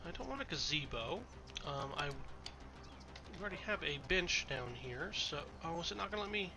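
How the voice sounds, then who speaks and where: A man's voice: a little high, sing-song, normal speed, background noise. A man with a cold, slightly nasal and congested, chatting casually and correcting himself as he streams a city-building game. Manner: rambling, chatty, self-correcting. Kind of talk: casual gameplay commentary.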